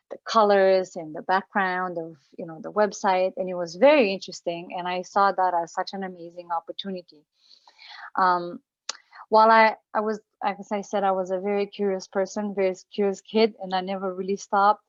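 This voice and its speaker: A woman's voice: mid-range voice, dry recording, lo-fi sound. A woman from Romania in her forties giving an earnest personal talk as a guest speaker at an online event, with many fillers and restarts.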